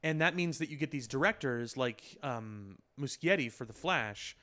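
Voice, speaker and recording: A man's voice: medium pitch, animated, normal speed, bright, forceful tone. A man co-hosting a podcast in casual discussion, explaining his points with lists of examples. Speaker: podcast co-host. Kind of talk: casual discussion of films and effects.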